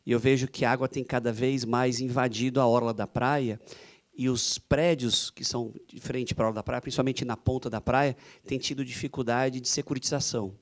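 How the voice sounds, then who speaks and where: A Brazilian man moderates a panel discussion in a medium-pitched voice. He is conversational and inquisitive, building his points through a run of questions to the guest. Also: steady tone, brisk. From Santos, Brazil. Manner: inquisitive, strings questions together.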